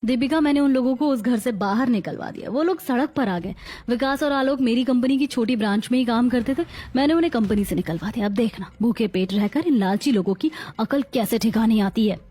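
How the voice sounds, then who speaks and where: A woman playing a proud, protective elder sister-in-law who scolds emphatically in a melodramatic animated family story. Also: fairly high pitch, brisk, natural voice. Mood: scornful.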